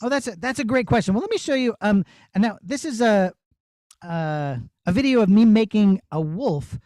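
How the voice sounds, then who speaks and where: A man's voice: high-pitched, lively intonation, moderate pace, a little mellow, no room sound. A man who works as a puppeteer, answering children's questions in an encouraging, explanatory way.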